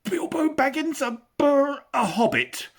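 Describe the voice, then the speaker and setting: A man voicing a small, timid male hobbit who squeaks, trembles and babbles in fear. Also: big pitch swings, a little slow, heavily acted. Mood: panicked. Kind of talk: audiobook character voice.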